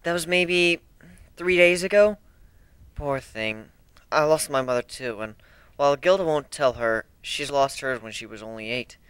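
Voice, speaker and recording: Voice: mid-range voice, unhurried, fairly bright tone, clean tone, no echo, close to an everyday voice. A performer voicing a friendly, protective female cat adventurer who is earnest and loyal. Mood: solemn. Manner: earnest, defensive.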